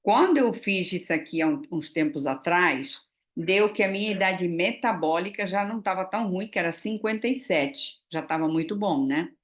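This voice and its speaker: A woman's voice: fairly low pitch, moderate pace. A woman, chatting casually in a vlog and explaining things with frequent fillers and tag questions. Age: 60s. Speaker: vlogger. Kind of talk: casual chat.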